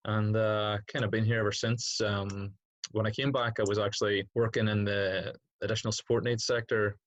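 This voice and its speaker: A man's voice: lowish voice, level tone, normal speed. A young man with a Northern Irish accent giving casual, reflective interview answers as a teacher, feeling his way hesitantly through each thought. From Derry, Northern Ireland. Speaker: secondary school PE teacher, interview guest.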